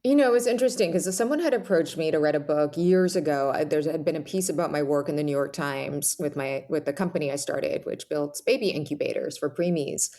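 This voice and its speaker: A woman's voice: low voice, brisk, few pauses, dark tone. A woman speaking as a podcast guest, reflective and conversational, with casual phrasing.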